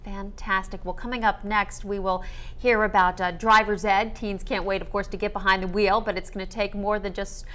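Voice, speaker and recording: A woman's voice: mid-range voice, level tone, moderate pace, pushed and bright. Upbeat woman TV news interviewer. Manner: upbeat, scripted segment transitions. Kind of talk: local TV interview.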